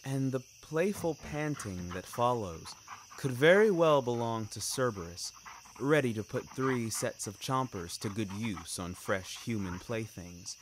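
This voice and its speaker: A man's voice: medium pitch, animated, a little hiss or hum, low-quality recording. A man narrating scripted dramatic fiction in a wry, literary manner. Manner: wry, literary, deliberate phrasing. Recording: music bed behind narration.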